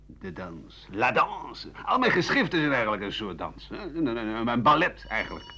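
A man's voice: medium pitch, very animated, moderate pace, gravelly, sharp tone, exaggerated voice. An actor playing an embittered ageing writer and doctor who rambles sardonically through his memories in a radio monologue. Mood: wry, relishing. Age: older. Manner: rambling, bitter, sardonic, with muttering and dry chuckles. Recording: dull 1980 radio play recording.

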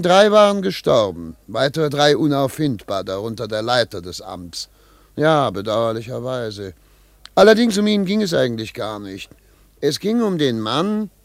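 A man's voice: mid-range voice, gravelly. Stern, irritable male interrogator. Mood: grave, reflective. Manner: imperious, barking orders, clipped commands. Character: stern, irritable male investigator interrogating war crime suspects. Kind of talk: German radio play acting, partly narrating.

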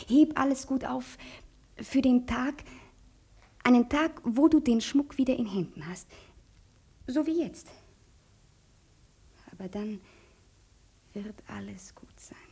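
An actress playing a frightened, tender woman, halting and imploring, in 1950s German radio drama style. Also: fairly high pitch, creaky, warm tone, slightly rough, heavily acted. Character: frightened, tender woman dreading the coming war.

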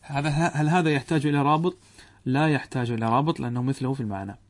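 A man's voice: medium pitch, racing. A man teaching Arabic grammar to students, posing questions to the class and answering them himself, reciting quoted text. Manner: addresses students directly, checks understanding.

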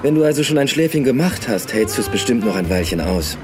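An actor playing a brusque, sardonic Berlin detective in a German radio play, dry and commanding. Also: male voice, medium pitch, expressive, brisk, music in the background, natural voice. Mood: dry teasing.

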